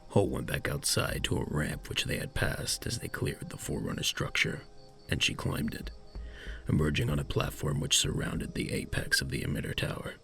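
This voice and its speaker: A man narrating a scripted science fiction audio drama in a measured storytelling manner. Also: a bass voice, lively intonation, gravelly, sharp tone, soft background music.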